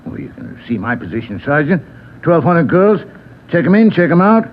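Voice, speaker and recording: A breezy, blustering union steward in an old radio drama. His folksy voice is rather high and very animated, on a narrow, slightly noisy recording. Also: normal speed. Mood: evasive, defensive. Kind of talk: 1950s radio drama acting.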